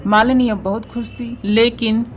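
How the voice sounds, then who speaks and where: A woman's voice: a little high, fairly even intonation, unhurried, a little hiss or hum. Woman narrating a scripted story.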